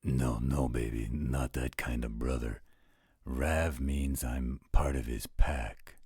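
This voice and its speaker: A man voicing a gruff, blunt, protective werewolf guardian with a husky voice in an audio roleplay drama. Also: steady tone, darkish tone, no echo, studio quality, full character voice. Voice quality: very low, husky rasp. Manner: slow, blunt, coarse-spoken.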